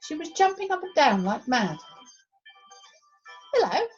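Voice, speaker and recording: A woman reading a children's storybook aloud as expressive narration. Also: high-pitched, brisk, lo-fi sound, faint music behind. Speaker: primary school story reader.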